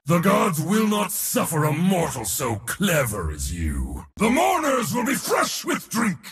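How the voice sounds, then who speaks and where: An actor playing a merciless, boastful god of war in a video game, declaiming imperious taunts in a theatrical way. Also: male voice, high-pitched, wildly swooping pitch, measured pace, a bit bright, soft background music, heavily acted. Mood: proud battle cries. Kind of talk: video game character voice lines.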